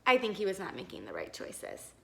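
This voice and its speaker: A woman teacher reading a picture book aloud to young children, expressive and encouraging, pausing to explain and ask simple questions. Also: medium pitch, vocal fry, slight background noise. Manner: encouraging, expressive, exaggerated wonder, asks the listener questions.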